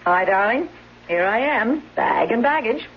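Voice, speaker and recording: An actress playing a sly mistress posing as a caregiver, breezy and knowing, in a muffled 1970s radio drama. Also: female voice, a little high, big pitch swings, a little slow, vocal fry, full character voice. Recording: muffled, hissy old radio broadcast.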